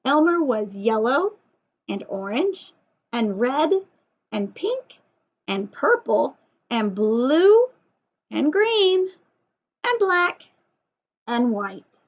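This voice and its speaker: A woman's voice: fairly high pitch, very animated, drawn-out words, long pauses. A woman teacher leading a story time lesson for young children, asking lots of questions and emphasising key words. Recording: dull lo-fi sound.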